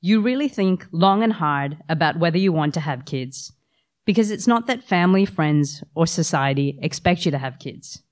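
A woman's voice: deep voice, lively intonation, normal speed, a little mellow. A woman, who has the flu, telling a personal story with wry humor to a live audience. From Australia. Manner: wry, self-deprecating humor.

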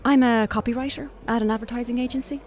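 A woman's voice: a little high, fairly even intonation, quick pace, darkish tone, soft background music, close to an everyday voice. An actress playing a weary, polite Irish woman on a doomed date in an audio drama, dryly guarded. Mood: politely trying.

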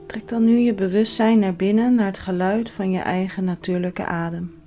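A woman leading a guided relaxation as a yoga instructor, giving soothing, measured instructions with pauses. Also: mid-range voice, fairly even intonation, warm tone. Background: background music.

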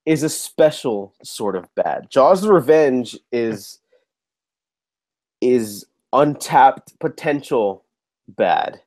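A man with a slightly nasal voice from a lingering cold hosts a movie podcast at mid pitch. He chats loosely, restarting sentences and swearing casually. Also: animated, slow pace, darkish tone. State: getting over a cold, a bit nasal. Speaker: podcast host.

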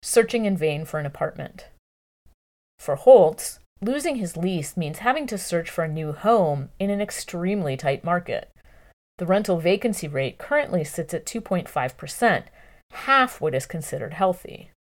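A woman narrating a long-form news article from a script in a measured, clearly phrased way. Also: on the low side, lively intonation.

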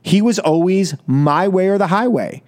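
High-pitched man hosting talk radio. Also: normal speed, darkish tone. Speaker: radio talk show host. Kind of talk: live political call-in talk radio. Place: radio studio.

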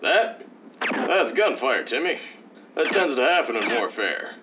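A man's voice: medium pitch, very animated, a little slow. Man acting a swooping, boastful radio war hero. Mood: confident. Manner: grandiose, declamatory, reassuring. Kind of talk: 1940s old-time radio serial acting. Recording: muffled, noisy old-time radio recording.

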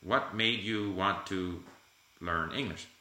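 A man's voice: sits low, slow, bright, forceful tone, slight background noise. A man hosting a casual conversation about language learning, encouraging and emphatic, repeating his key phrases. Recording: echoey room.

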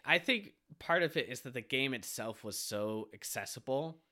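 A man with a bright, forceful voice hosts a relaxed podcast conversation. He sounds a little stuffy from a cold and keeps things moving with questions and easy laughter. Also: medium pitch, normal speed. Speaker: podcast host. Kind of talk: casual podcast chat.

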